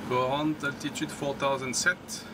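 A man's voice: medium pitch, little pitch movement, normal speed, very noisy. A man giving terse, procedural callouts in a flight simulator cockpit. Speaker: pilot in simulator demo.

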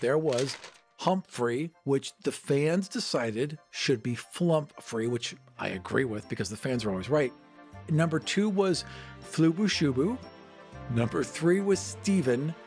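A man with a medium-pitched voice talks as a podcast co-host and tabletop player. He banters playfully and improvises his story. Faint music sits behind him. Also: lively intonation, measured pace, a little mellow.

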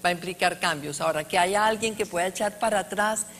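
A middle-aged woman speaking earnestly on a conference panel, with pauses between phrases, into a handheld mic on stage. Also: lowish voice, a bit bright, slight background noise. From Colombia. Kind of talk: panel discussion answer. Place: large conference hall stage.

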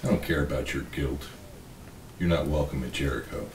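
An extremely deep male voice, acted, for a bitter android in a film drama. He is stern, grieving and unforgiving. The sound is roomy and a bit far from the mic. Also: normal speed. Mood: bitter, accusing. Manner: stern, accusing. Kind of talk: table read of a film script. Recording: roomy, distant mic, faint noise.

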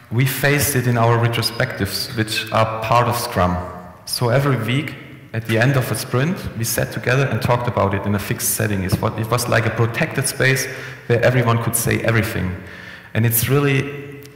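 A young man presents at a conference in an easygoing, conversational way, often restarting his sentences. His mid-pitched voice has a gravelly edge. The headset-mic sound carries the long, echoing reverb of a hall. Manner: relaxed, conversational, frequent restarts and fillers. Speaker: conference speaker. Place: conference room, indoors.

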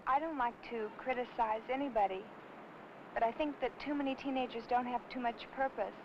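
A woman's voice: fairly high pitch, fairly quick. A young woman earnestly stating her opinion. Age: teens or young adult. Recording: dull old film, faint vehicle noise.

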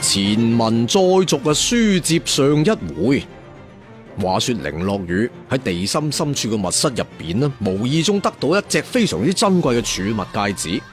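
A man's voice: mid-range voice, sing-song, normal speed, soft background music. A man with a Cantonese accent telling a serial fantasy story theatrically, with conversational asides and emphatic endings. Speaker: storyteller narrator.

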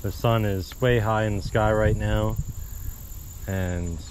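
A man's voice: fairly low pitch, fairly even intonation, slow, a little mellow, slight background noise, low-quality recording. A man chatting easily and thinking aloud as he narrates a fishing trip at a marsh pond, recorded on a body-worn action camera. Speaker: fishing vlogger. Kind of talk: casual outdoor vlog narration.

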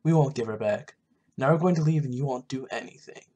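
A man's voice: mid-range voice, a little fast, warm tone, natural voice. A man voicing a menacing, commanding kidnapper in a fantasy game, stern and threatening.

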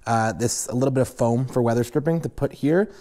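A young man casually walking viewers through installing a snowmobile accessory in a garage workshop, relaxed and plain-spoken with many fillers. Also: medium pitch, brisk. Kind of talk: casual step-by-step install walkthrough.

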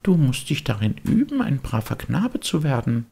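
A man voicing a gentle, motherly fairy, kind but admonishing, in a measured audiobook character voice. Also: mid-range voice, very animated, gravelly, slightly rough, voice pushed up, full character voice. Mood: gently instructive.

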